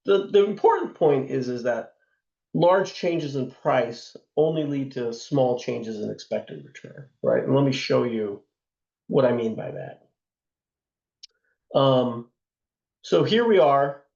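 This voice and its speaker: A man teaching a class on investing, hoarse from a sore throat, talking in a loose conversational way with plenty of fillers and asides. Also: medium pitch, lively intonation, slow, long pauses, darkish tone, echoey room, dull audio. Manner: loose and conversational, many fillers and tag questions. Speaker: instructor. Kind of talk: lecture on investing.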